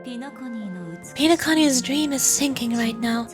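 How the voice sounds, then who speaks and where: Woman playing a composed, shrewd, high-voiced leader. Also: expressive, faint music behind. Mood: serious, wary. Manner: analytical, deliberate, polite. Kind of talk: live read-aloud of video game dialogue.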